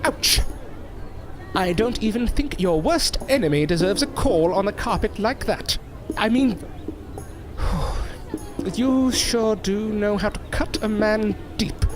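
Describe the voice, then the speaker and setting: An actor playing a proud, easily wounded older stage magician in an audio drama, with wordy, formal, theatrical diction. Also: male voice, sits high, slow pace.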